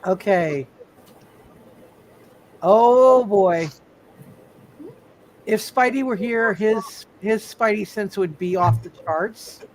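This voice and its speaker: A man acting as game master of a superhero roleplay, halting and often repeating his phrases as he improvises. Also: sits high, a little hiss or hum, low-quality recording. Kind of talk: live tabletop superhero roleplay, improvised narration.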